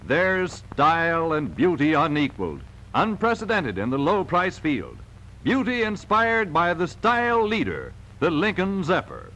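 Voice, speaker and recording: A man's voice: fairly high pitch, wildly swooping pitch, a little slow, bright, forceful tone, rough recording. A man reading scripted narration for a 1940s sales film in an emphatic, polished salesman's delivery, on a vintage film soundtrack.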